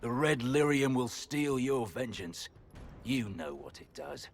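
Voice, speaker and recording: An actor playing a weary, defiant fallen knight commander, speaking in a gruff, resentful, curt way in a video game. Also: male voice, mid-range voice, big pitch swings, a little slow, faint music behind.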